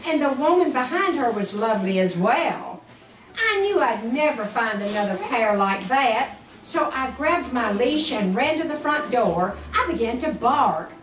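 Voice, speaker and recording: An older woman, a grandmother, reads a children's story aloud expressively and deliberately, with doting asides to the children. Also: on the high side, expressive, fairly bright tone. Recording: echoey room, distant mic, music underneath.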